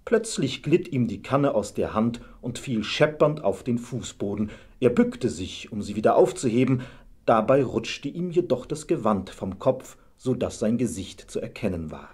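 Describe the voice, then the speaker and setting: A man's voice: medium pitch, very animated, normal speed, a little mellow, husky. A man narrating a fairy tale as a storyteller, reading from a script.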